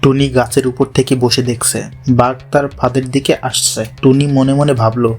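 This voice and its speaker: A man's voice: mid-range voice, little pitch movement, normal speed. A man narrating a scripted children's fairy tale as storyteller. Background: music.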